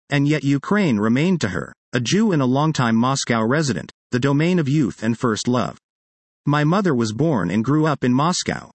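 A man's voice: mid-range voice, no room sound. Earnest man reading an article aloud. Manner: earnest, measured reading with self-corrections of names. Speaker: reader of a published article. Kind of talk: read-aloud article narration.

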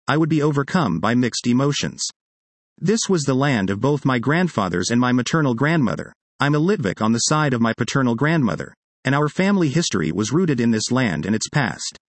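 A man reading a published article aloud in an earnest, measured way, now and then correcting his own pronunciation of place names. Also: mid-range voice, no room sound. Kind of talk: read-aloud article narration.

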